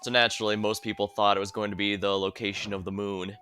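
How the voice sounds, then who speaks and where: A man's voice: on the low side, flat delivery, fast, bright, forceful tone. A man giving casual livestream commentary as he plays through a story game. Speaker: game streamer. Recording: game music behind the voice. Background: game music.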